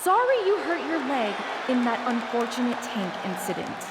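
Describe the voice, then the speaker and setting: A spiteful vengeful wrestler. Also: female voice, on the high side, very animated, normal speed, reverberant, big-room sound, a crowd in the background, background noise. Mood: taunting. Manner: taunting, accusatory, mocking. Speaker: video game character, rival wrestler. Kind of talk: video game cutscene acting.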